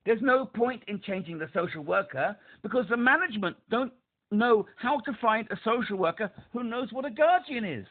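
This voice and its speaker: A man's voice: sits high, expressive, moderate pace. Guy w/ a speech impediment, keeps pressing his point, sounds like an old phone line. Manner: insistent, emphatic, repeats himself. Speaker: caller to a helpline. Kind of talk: phone call.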